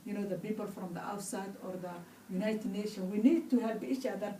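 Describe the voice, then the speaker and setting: Mellow Somali-accented woman in an echoey room. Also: mid-range voice, steady tone, brisk. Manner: non-native phrasing, frequent "you know". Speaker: guest, campaign ambassador. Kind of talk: earnest interview answers. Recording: roomy, distant mic, faint noise.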